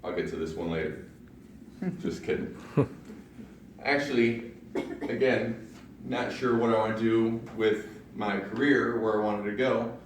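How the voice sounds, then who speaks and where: A young man from Ohio with a deep voice, giving a prepared personal speech with self-deprecating jokes at a public speaking club. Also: unhurried, pauses often, recorded from a distance, slight background noise. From Ohio, USA. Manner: self-deprecating humor, storytelling with punchlines. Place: large meeting room in a library. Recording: very echoey room, recorded from afar.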